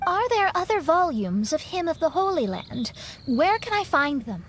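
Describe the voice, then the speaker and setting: A woman's voice: very high voice, very animated, moderate pace, a little hiss or hum. A woman playing a proud, dramatic young astrologist in an anime-style video game, haughty and emphatic. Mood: composed, inquisitive.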